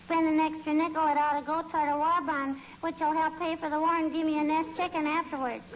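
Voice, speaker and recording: A very high, childish little girl's voice, acted by a woman, cheeky and chatty. She pesters persistently in the style of 1940s radio comedy. The old recording is dull, with faint noise. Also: little pitch movement, fast talker, close to an everyday voice. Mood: earnest, precocious. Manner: childish, chatty, repeats tags like "I bet you".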